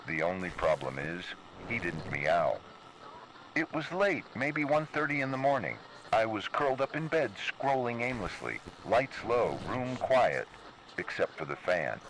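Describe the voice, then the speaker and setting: A man with a very low voice tells a scripted horror story in the first person. Background noise runs under the narration. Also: big pitch swings, unhurried, a bit bright, poor audio.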